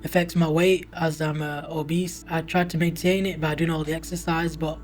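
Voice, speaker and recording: Hesitant young man, flat delivery. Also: fairly high pitch, faint music behind. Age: 20s. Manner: hesitant, halting, many pauses and restarts. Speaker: interviewee, university student. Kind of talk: documentary interview testimony.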